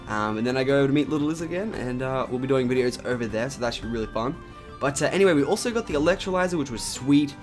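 A young man with an Australian accent, his voice raspy from just waking up, chatting casually over gameplay with lots of fillers. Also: medium pitch. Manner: chatty, rambling with many "like" and "um" fillers. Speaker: gaming YouTuber.

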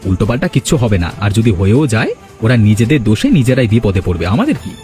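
A man's voice: mid-range voice, very animated, rapid-fire, dark tone, lightly acted. A man voicing a devoted, protective young son in a fairy-tale cartoon, questioning eagerly and offering reassurance. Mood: reassuring. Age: young adult. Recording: music behind the voice.

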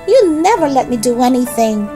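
A pestering little girl doll in a children's storybook , played by a woman. The voice is whiny and childish, pitched up high with lively swings. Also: a little fast, over music. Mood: whining. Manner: whining, childish. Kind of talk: children's storybook character reading.

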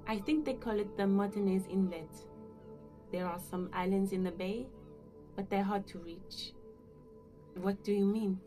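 Mellow, reserved actress as a woman gardener. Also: mid-range voice, lightly acted. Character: reserved working-class female gardener, uneasy, politely hesitant. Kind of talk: video game dialogue acting.

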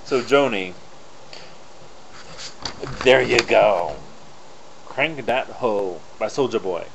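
A man's voice: lowish voice, lively intonation, very slow, creaky. A young man chatting casually to camera in a personal vlog, recorded at home on a webcam mic. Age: young adult. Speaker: video blogger. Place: small indoor room at home. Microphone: built-in webcam mic at close range. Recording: noisy lo-fi webcam audio.

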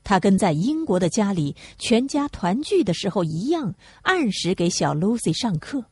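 A woman's voice: medium pitch, big pitch swings, normal speed, a little mellow, husky. A woman reading a novel aloud as a scripted audiobook narration.

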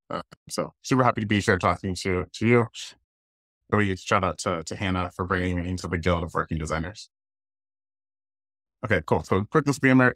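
Low-voiced American man presenting casually. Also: a bit bright, no echo. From Washington, D.C., United States. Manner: casual, conversational. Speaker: guest speaker, design leader. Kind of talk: informal online presentation. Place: indoors, plain room on a video call.

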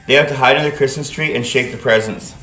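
A man's voice: mid-range voice, quick pace, pushed and bright, soft background music. A chatty, teasing man in his fifties bantering casually on a livestream, a little away from the phone. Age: 50s. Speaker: livestream co-host. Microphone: phone, a little distant. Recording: roomy, distant phone audio with noise.

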